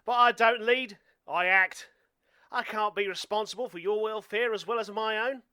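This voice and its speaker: Man acting high, bright young doomsday survivalist. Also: fairly even intonation, moderate pace, clean tone, dry recording, clean studio sound. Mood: blunt, grim. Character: earnest young doomsday survivalist, self-reliant and blunt. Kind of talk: amateur radio play acting.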